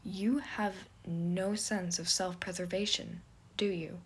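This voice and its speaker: Woman playing guarded younger man, weary, sardonic, dry delivery, slightly breathy husky voice, story dialogue. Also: steady tone, slow pace. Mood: exasperated. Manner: mumbling, dry, low-key. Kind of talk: fan fiction audio story character.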